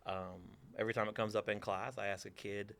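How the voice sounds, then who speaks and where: A man's voice: fairly low pitch, level tone, a little fast, creaky, a bit bright. A man in his forties from Texas, a theater teacher answering interview questions with dry, teasing humor.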